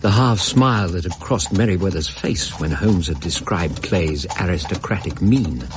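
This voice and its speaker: Low, expressive English storyteller. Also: male voice. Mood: reflective. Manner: measured, literary storytelling. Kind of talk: scripted radio drama narration. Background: music.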